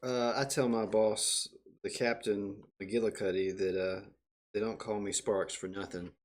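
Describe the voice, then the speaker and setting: A man with a very deep voice talks casually as a game player. He gives spoken instructions in a slightly hesitant, halting way. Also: level tone. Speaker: player demonstrating a voice assistant.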